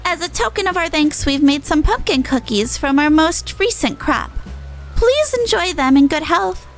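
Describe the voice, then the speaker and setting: A gracious botanist mentor from a fantasy game, read out by a woman. The voice is high and expressive, with formal, courteous phrasing over faint music. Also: normal speed, rough recording.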